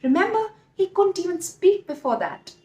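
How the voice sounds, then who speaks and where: Very high-pitched, animated woman storyteller. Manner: dramatic, drawn-out emphasis. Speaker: children's storyteller. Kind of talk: expressive storytelling for children. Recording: echoey room.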